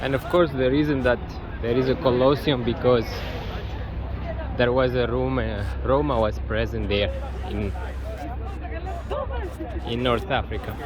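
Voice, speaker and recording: A man from Tunisia talks casually and reflectively in a halting, unscripted way as he vlogs on a walk. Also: medium pitch, fairly even intonation, a crowd in the background, rough recording. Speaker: travel vlogger. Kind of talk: casual walking vlog with personal reflections. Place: outdoors on a busy tourist street. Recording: loud outdoor street and traffic noise. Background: street ambience, passing vehicles.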